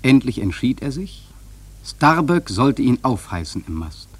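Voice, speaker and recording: A man acting a grave sailor who narrates a radio play in a measured, solemn literary style with dramatic swells and a husky voice. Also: medium pitch, sing-song. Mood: solemn, ominous. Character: grave male sailor narrating a haunted whaling voyage.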